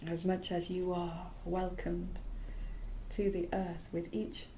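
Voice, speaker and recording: A woman guiding a yoga nidra relaxation in a soothing, hushed manner, in short phrases with long pauses. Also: lowish voice, level tone, a little hiss or hum, low-quality recording. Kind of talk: guided relaxation meditation.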